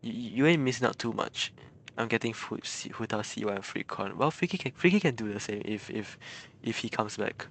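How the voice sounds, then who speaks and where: Low-voiced man chatting on a game stream. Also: fairly quick, creaky, a little hiss or hum. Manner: stammering, repeats words and phrases; chuckles often between remarks. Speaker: game livestreamer. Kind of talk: casual livestream chat with viewers.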